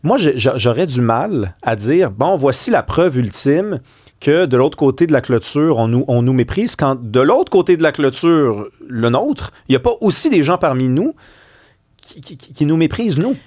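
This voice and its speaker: A male talk-radio host with a Québécois French accent, whose pitch rises and falls a great deal as he argues. He asks rhetorical, pointed questions. The recording is dry and close, with no room sound. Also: big pitch swings, normal speed, a little mellow, no echo. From Quebec.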